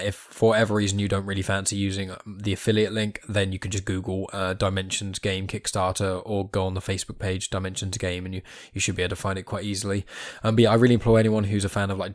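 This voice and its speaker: A man with a fairly low voice hosts a podcast in a casual, unscripted style. He talks fast and rambles chattily, tossing in self-deprecating asides. The sound is close and dry, with little room on it. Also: dry recording. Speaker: podcast host.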